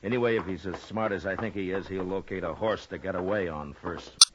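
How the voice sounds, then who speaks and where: Actor as very deep-voiced, steady frontier marshal. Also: male voice, brisk, heavy background noise, close to an everyday voice. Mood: calm concern. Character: steady, authoritative frontier marshal of the Old West. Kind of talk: 1950s radio western acting and first-person narration. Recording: dull old radio recording, music behind.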